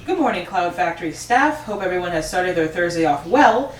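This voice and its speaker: A woman's voice: fairly low pitch, animated, fairly quick, lightly acted. A woman playing a friendly, chatty factory boss in an amateur table read, talking in an upbeat, rambling way. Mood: upbeat, announcing. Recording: roomy, distant mic, faint noise.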